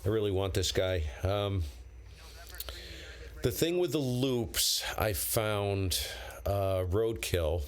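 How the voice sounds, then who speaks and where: American man, very low pitch, ham radio streamer, casual unscripted chat, rambling, blunt, slangy. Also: lively intonation, takes it slowly, clean tone. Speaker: amateur radio operator live streaming. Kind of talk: casual unscripted chat with viewers, on-air call signs.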